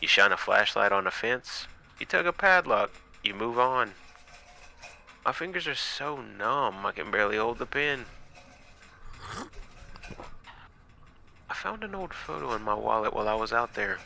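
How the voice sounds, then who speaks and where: A man playing an exhausted, frightened night watchman recording halting horror-story log entries, dramatic and sometimes breaking into whispers. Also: fairly low pitch, animated, creaky, sharp tone, heavily acted. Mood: weary, bitter. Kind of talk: first-person horror audio log narration.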